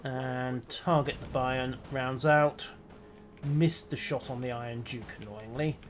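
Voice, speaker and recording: Brief: male streamer, mid-pitched, wry muttering play-by-play, faint hiss behind. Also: fairly even intonation, takes it slowly. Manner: dry, wry, muttering self-commentary. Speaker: game streamer. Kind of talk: live gameplay commentary.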